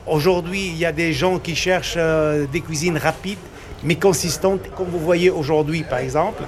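A man's voice: a little high, fairly even intonation, moderate pace. A man answering a street interview, promoting his restaurant's food with frequent fillers. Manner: warm promotional, hesitant fillers. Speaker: restaurant worker.

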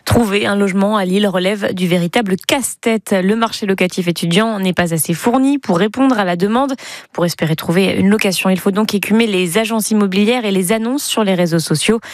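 A woman reading the morning radio news in a measured, crisp delivery. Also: medium pitch, dry recording, studio quality. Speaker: newsreader.

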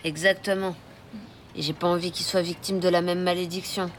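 A woman's voice: on the low side, little pitch movement, a little fast, a little hiss or hum, lightly acted. Woman playing a sullen, blunt young boy. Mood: grave. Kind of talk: audio drama dialogue.

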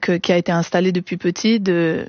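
A woman's voice: on the low side, steady tone, fairly quick, no echo. A woman singer in a radio interview, talking hesitantly with many restarts and slipping English words into her speech. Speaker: singer and guest. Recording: dull, dry studio sound.

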